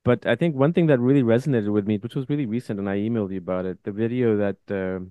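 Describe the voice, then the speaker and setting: A man with a fairly low, smooth voice hosts a casual interview. He talks informally and effusively in loose phrases, recorded close and dry. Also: fast talker, dark tone, no room sound. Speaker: podcast host.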